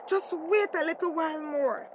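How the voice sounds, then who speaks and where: An actress playing a loyal, steady, deferential woman in a screen drama, soothing and reassuring, with a Belizean accent. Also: very high voice, moderate pace. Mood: consoling. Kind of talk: acted screen drama dialogue. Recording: noisy background ambience.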